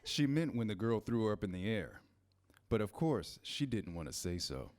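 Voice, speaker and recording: A man reading scripted storybook narration in a measured, storytelling manner. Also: fairly low pitch, big pitch swings, a little mellow.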